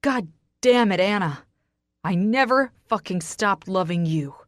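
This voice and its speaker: A woman voicing a brooding, possessive, hot-tempered man with a rasp, as a character in a romance audiobook. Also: sits low, unhurried, no echo, clean studio sound. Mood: anguished roar. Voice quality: rasping.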